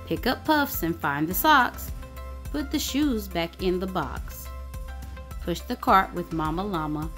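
Female storyteller for kids' picture books: unhurried, expressive, widely swooping pitch, music underneath. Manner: expressive, sing-song rhyming delivery. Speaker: children's story reader. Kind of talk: animated picture-book read-aloud. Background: background music.

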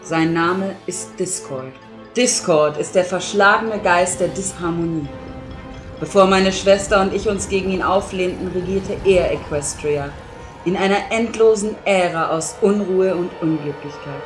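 A woman playing a grave, regal pony princess with a solemn, formal, measured delivery in an audio drama. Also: fairly low pitch, over music, natural voice. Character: grave, regal pony princess, a long-reigning female ruler. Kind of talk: fan audio drama acting.

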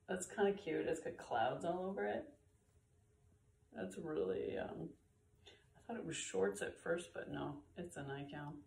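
Slow, low-voiced woman, slightly congested. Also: a little hiss or hum. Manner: relaxed, chatty, with frequent fillers. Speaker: vlogger sorting found clothes. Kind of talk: casual chat to camera. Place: bright room with bare walls, indoors. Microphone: camera a few feet away. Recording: roomy, slightly distant camera audio.

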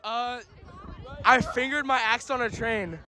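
A man's voice: very high voice, fairly even intonation, measured pace, heavy background noise. A young man at a night party, sheepish and flustered as he denies things in a street interview. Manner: sheepish, flustered, laughing denials.

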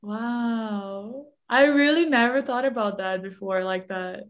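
A woman's voice: a little high, slow, muffled recording. A woman in her thirties, a native Portuguese speaker, chatting casually as a show host on a video call. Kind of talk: casual conversation. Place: home room, indoors. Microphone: computer video call.

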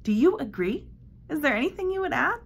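A woman, around her thirties or forties, presenting recommendations to camera with deliberate, emphatic phrasing and pauses. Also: sits high, very animated, creaky. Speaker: presenter for a homeschool curriculum blog. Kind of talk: book recommendation walk-through to camera.

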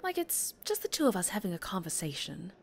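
A woman's voice: mid-range voice, sing-song, brisk, gravelly. Woman performing a female talk show host, mid-range voice, reassuring, articulate, presenter polish, dramatic ASMR roleplay. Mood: reassuring, upbeat.

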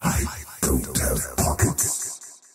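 Echoing, ominous faceless alien time-lord. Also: male voice, extremely slow. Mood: haughty, dismissive. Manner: pompous, ominous, clipped theatrical pronouncements. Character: faceless, menacing male alien time-lord, cold and haughty usurper. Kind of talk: video game character voice lines. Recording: heavily echoing, distant voice over music.

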